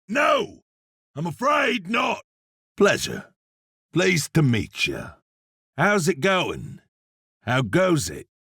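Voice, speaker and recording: An actor playing a seasoned, courteous frontier gunslinger in a video game, with a gravelly, raspy voice and a folksy drawling manner. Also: male voice, on the high side, bright, forceful tone, no room sound, clean studio sound, full character voice. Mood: calm, courteous. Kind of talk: video game character voice lines.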